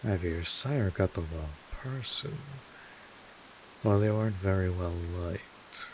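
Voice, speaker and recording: A man playing a wry, laid-back vampire mentor in an intimate audio drama, casual and sardonic, with drawn-out pauses, protective and a little world-weary. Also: low-pitched, animated, a little hiss or hum, rough recording. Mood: wry, sympathetic.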